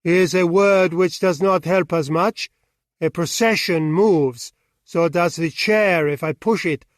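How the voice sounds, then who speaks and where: A man voicing a stern, sharp-tongued French detective in an audiobook, commanding and ironic. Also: on the high side, slow pace, no room sound, studio quality.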